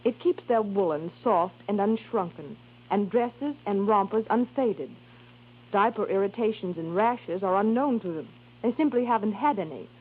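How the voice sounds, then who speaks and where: On a tinny old radio recording, an actress plays a grateful mother. Her husky voice gives a scripted testimonial in an earnest, careful manner. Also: mid-range voice, expressive, moderate pace.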